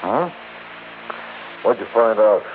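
Deep-voiced, brisk investigator. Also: male voice, phone-quality sound. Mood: concerned, puzzled. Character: plain-spoken, concerned male investigator at work. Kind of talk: 1960s radio drama acting. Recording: noisy, band-limited 1960s radio recording.

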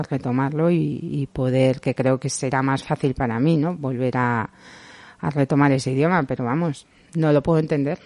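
Young spanish woman being interviewed, thoughtful and open, lots of little pauses, dry close sound. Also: sits low, mellow, no echo. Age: young adult. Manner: reflective and open, pauses often with hesitations. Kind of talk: personal memories in casual conversation.

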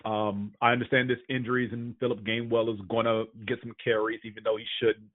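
A man's voice: medium pitch, flat delivery, fairly quick, dry recording. A man co-hosting a casual sports podcast, going over injury news and power rankings. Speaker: podcast co-host. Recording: tinny phone-like dry sound.

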